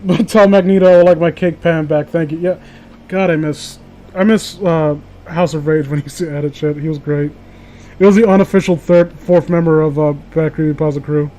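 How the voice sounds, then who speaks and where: A man's voice: on the high side, fairly even intonation, fairly quick, dark tone. A man hosting a casual show, reading amateur horror stories aloud and breaking off into rambling, opinionated, profane commentary.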